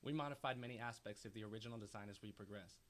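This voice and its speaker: Lowish, rapid young male presenter. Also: fairly bright tone. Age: young adult. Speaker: engineering student presenter. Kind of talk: scripted technical presentation. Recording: faint background noise.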